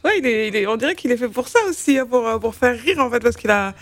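A woman's voice: a little high, animated, fast talker. Chatty female radio co-host. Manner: friendly, laughing, chatty. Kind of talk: casual radio chat. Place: radio studio.

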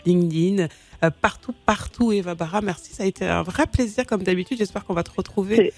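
A woman's voice: medium pitch, fairly quick, darkish tone. Woman radio host, faint music. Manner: friendly, brief prompts and thanks. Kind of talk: radio interview hosting.

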